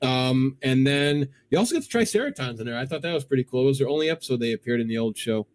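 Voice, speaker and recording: A man's voice: mid-range voice, expressive, quick pace, a little mellow. A middle-aged man hosting a cartoon countdown show, chatting casually and enthusiastically as a fan.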